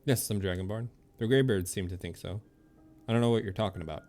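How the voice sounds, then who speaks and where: A man's voice: lowish voice, fast talker, a little mellow. A man streaming a video game, commenting casually and reading game text aloud. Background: faint game music.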